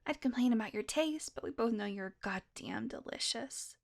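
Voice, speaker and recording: High-voiced sly vampire played by a woman. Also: animated, normal speed. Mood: flirty, cheeky. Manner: flirtatious, sardonic, dropping into breathy murmurs. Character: sly, teasing, sarcastic female vampire, hungry and defiant. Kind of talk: intimate audio roleplay acting.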